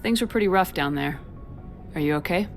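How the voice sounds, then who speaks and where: An actress playing a resolute, composed military commander in a video game, with controlled, direct delivery. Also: female voice, lowish voice, expressive, brisk, soft background music. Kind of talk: dramatic video game dialogue.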